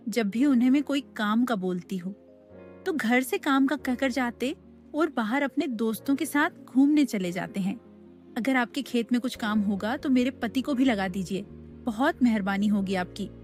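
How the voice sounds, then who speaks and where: Lady doing a worried cartoon village wife, respectful, high voice, faint music. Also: lively intonation, moderate pace, a little mellow, lightly acted. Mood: troubled, pleading. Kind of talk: Hindi moral story cartoon dialogue.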